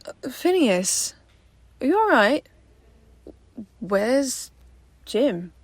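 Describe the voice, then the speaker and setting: A woman playing a concerned, excitable agent in an audio drama. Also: high-pitched, very slow, no room sound, heavily acted.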